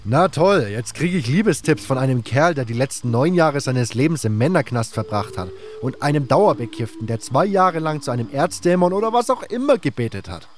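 A man's voice: mid-range voice, expressive, a little fast, few pauses, natural voice. A man acting a defensive, sarcastic mercenary hero in a fantasy audio drama. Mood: indignant, sarcastic.

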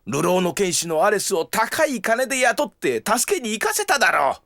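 Greedy old grandpa, wildly swinging pitch. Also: male voice, very animated, normal speed, vocal fry, bright, forceful tone, dry recording, clean studio sound, full character voice. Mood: indignant. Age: older. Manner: blustering, theatrical, swinging between coaxing and outbursts. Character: greedy, scheming old grandfather, small-town mayor. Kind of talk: Japanese audio drama acting.

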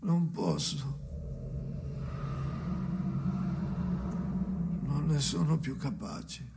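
An actor playing a brooding man haunted by his dead father in an experimental radio drama, theatrical and volatile, moving between muttering and pleading, with a gravelly voice. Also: a little high, sing-song, slow, heavy background noise, exaggerated voice. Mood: anguished, defeated.

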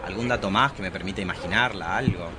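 Low-voiced, lovelorn Argentine poet played by a man. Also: fast talker, music in the background, close to an everyday voice. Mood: lovesick. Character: lovelorn, melodramatic poetic queer soul, self-pitying and jealous. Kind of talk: scripted radio drama monologue. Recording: noticeable background noise.

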